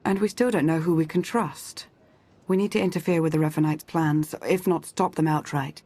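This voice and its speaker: A stern intelligence agent in a video game. Her husky voice is controlled and formal, with measured delivery that stays composed. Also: low-pitched, fairly even intonation, warm tone, dry recording. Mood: grave, resolute.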